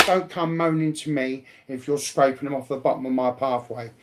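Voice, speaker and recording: A man's voice: medium pitch, steady tone, brisk, very noisy. Blunt, sweary man. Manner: blunt, combative, repetitive slangy talk. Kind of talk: casual, heavily sweary chat.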